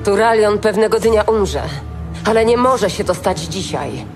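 Intense, defiant elf warrior. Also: female voice, mid-range voice, expressive, measured pace. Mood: resolute. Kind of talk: audiobook fantasy drama acting. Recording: music under the voice.

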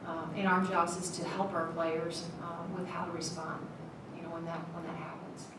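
A middle-aged woman speaks as a basketball coach at a press table, in a slightly low voice. She talks in a measured way, with frequent ums and restarts. The sound is very echoey and noisy, from a mic set some way off. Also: level tone, recorded from a distance. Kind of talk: postgame press conference. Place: press room, seated at a table. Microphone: table mic, at a distance.

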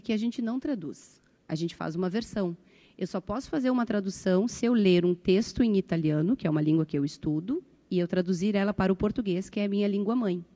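Mellow-voiced southern Brazilian woman, chatty. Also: medium pitch. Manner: conversational, frequent tag questions. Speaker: volunteer translator, panelist. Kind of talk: informal panel talk. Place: conference panel stage, indoors. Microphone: handheld microphone.

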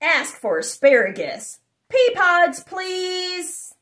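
A young adult woman reading aloud for children in an exuberant, sing-song chanting way with drawn-out words. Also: extremely high pitch, big pitch swings, fairly bright tone. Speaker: host of a children's read-aloud channel. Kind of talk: animated children's picture-book read-aloud. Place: small furnished room indoors.

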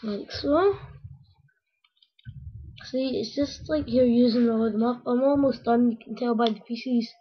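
Croaky, slow-talking woman building a toy. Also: fairly high pitch. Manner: rambling, talks to viewers while working with hands. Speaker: video maker building a toy. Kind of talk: casual step-by-step build talk. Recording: dull lo-fi home recording.

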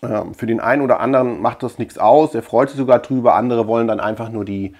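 A man's voice: medium pitch, fast talker, a little mellow. A man chatting casually as a video host, rambling colloquially and repeating words for emphasis. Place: small room at home lined with shelves.

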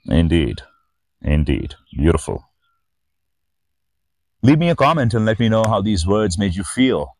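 A middle-aged man reading and commenting on scripture as a spiritual teacher, in a measured, reverent manner. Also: deep voice, no room sound. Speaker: spiritual teacher and channel host. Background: background music.

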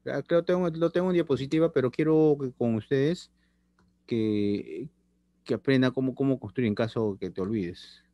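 A man's voice: mid-range voice, normal speed, dark tone, no echo. Man teaching chemistry. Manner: chatty, repeats phrases, checks in with students. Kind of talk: online class lecture. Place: small room indoors. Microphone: laptop webcam on video call.

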